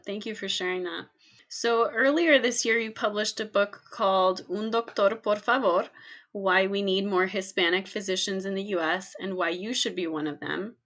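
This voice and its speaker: A woman hosting a podcast, reading a scripted introduction and asking interview questions in a clear, measured way. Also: medium pitch, fairly bright tone. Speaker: podcast host.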